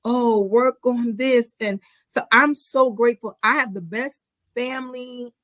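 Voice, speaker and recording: A woman life coach talking as a guest, expressive and forthright, with a strong personality. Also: fairly high pitch, a little slow, dry recording. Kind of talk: casual faith conversation.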